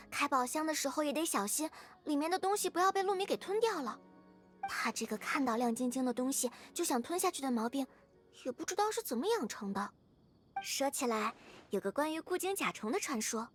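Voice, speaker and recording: High, animated young adventurer girl. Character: cheerful young female adventurer-naturalist, kindly, wistful. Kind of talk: video game voice acting.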